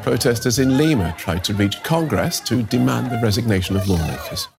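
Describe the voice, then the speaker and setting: A man's voice: mid-range voice, expressive, a little fast, vocal fry. A man reading a scripted news bulletin as a newsreader. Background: music bed.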